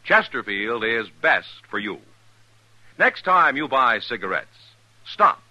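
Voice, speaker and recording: A man's voice: sing-song, slow, bright, forceful tone, no echo, poor audio. A man reading a polished, emphatic 1950s radio commercial as announcer. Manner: polished, emphatic sales pitch. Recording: dry 1950s radio recording.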